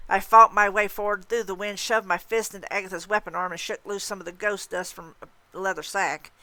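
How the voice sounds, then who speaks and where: A woman reading a story aloud in dramatic, expressive, suspenseful narration. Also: medium pitch, brisk, no room sound. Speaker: story reader. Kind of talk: dramatic audiobook-style narration.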